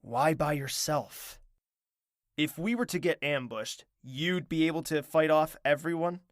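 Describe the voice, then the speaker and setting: A man playing a brooding, guarded young prince with a curt, measured delivery in an audio drama. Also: on the high side, no echo, studio quality, lightly acted. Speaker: narrator and lead.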